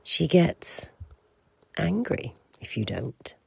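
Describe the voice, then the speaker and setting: A man acts out an aloof keeper of a house of monsters for an audio drama. The voice is heavily creaky and very husky, formal and curt. It sits in a slightly dull, roomy recording. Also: fairly high pitch, very animated, slow pace, darkish tone, full character voice. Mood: formal, informative. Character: aloof, pompous male keeper of an institute for monsters.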